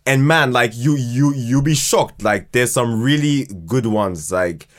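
A man chatting casually as a podcast guest, haltingly, repeating words as he thinks. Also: medium pitch, a bit bright. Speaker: podcast guest, fiction podcast creator. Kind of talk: casual conversational interview.

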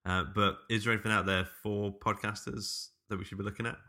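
A man's voice: fairly low pitch, level tone, fast talker, sharp tone. A man co-hosting a podcast in casual chat, hesitant and rambling as he puts his thoughts together. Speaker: podcast co-host.

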